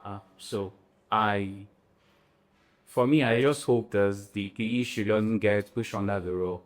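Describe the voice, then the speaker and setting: A man on a panel, giving his final note in a hesitant, measured way. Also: fairly low pitch, fairly even intonation.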